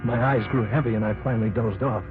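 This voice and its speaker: A man's voice: medium pitch, fast, lightly acted. A man playing a jittery young companion. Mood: narrating. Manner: anxious, excitable. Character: young man Martin, nervous companion and narrator. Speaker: narrator. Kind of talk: 1950s radio drama acting. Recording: tinny hissy old radio broadcast.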